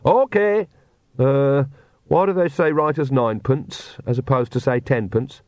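A man voicing an easygoing old country witch woman who talks in a folksy, conversational, unhurried way. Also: medium pitch, a little mellow, no echo, voice pushed up, close to an everyday voice. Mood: chatty, calm. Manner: folksy, conversational, rustic grammar.

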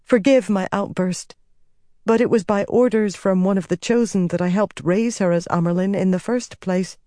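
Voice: mid-range voice, steady tone, moderate pace, a little mellow, no echo, clean studio sound, voice pushed up, lightly acted. An audiobook narrator voicing an anxious, servile woman, meek and hesitant as she tries to placate her master. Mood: apologetic. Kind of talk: audiobook character voice.

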